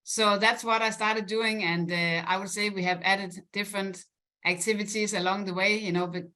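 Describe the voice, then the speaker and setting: A woman from Denmark speaks in a bright, lively tone as she presents on a business call. She talks at an ordinary pitch, filling pauses with "uh" and "you know" and laughing lightly along the way. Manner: upbeat, laughs often, many fillers. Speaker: guest presenter.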